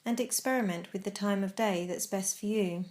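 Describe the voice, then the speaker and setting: Female meditation narrator, mid-range pitch, instructional and measured, leaving deliberate space between phrases. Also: darkish tone. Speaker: meditation guide.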